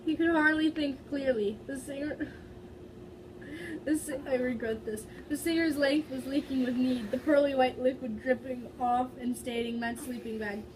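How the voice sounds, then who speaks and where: A woman's voice: high-pitched, steady tone, moderate pace. A woman reading fan fiction aloud dramatically, stumbling over words and breaking into giggly casual asides. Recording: distant mic with faint background noise.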